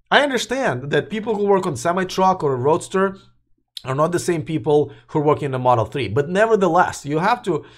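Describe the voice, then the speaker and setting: Man losing his voice, rambling. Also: fairly high pitch. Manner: rambling, restarts, addresses live chat. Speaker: host of a live electric car news show. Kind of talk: casual live-stream commentary.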